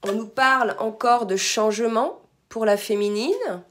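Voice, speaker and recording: A hoarse woman giving a spiritual card reading to her viewers, soft-spoken, sometimes murmuring requests to her guides. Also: a little high, a little slow, slight background noise. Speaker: tarot card reader.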